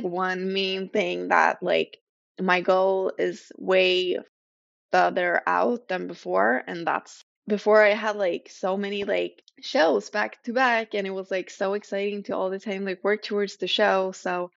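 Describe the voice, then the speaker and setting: Young swedish woman chatting on her podcast, lots of um and like, raspy fry, kinda muffled dry audio. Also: medium pitch, fairly even intonation, a little slow, no echo. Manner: rambling, hesitant, many fillers. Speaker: podcast host. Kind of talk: casual podcast chat. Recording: slightly dull lo-fi recording.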